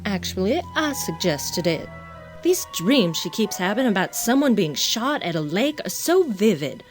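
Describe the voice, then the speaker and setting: A woman's voice: medium pitch, sing-song, measured pace. An actress in an audio drama playing an earnest grown daughter, pointed and emotional in the way she presses. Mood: defensive. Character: earnest, challenging grown daughter of a wealthy family. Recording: music under the dialogue.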